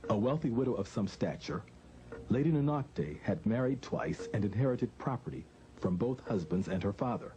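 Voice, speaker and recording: An American man, a host with a measured, formal way of narrating a scripted documentary and interviewing. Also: lowish voice, expressive, mellow, muffled recording.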